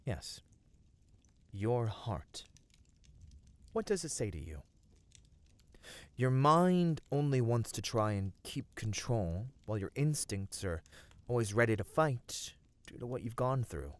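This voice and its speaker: Slow, soothing man acting a gentle fantasy companion. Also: medium pitch, big pitch swings, no room sound. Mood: earnest, soothing. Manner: soothing, earnest, formal phrasing. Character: gentle, caring male companion of a fantasy hero. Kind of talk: scripted ASMR roleplay.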